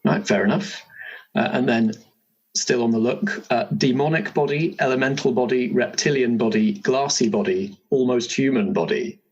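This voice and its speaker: A man's voice: mid-range voice, normal speed, breathy edge, highs cut off. A man hosting a tabletop character creation session as game master, guiding it with casual questions and explanations.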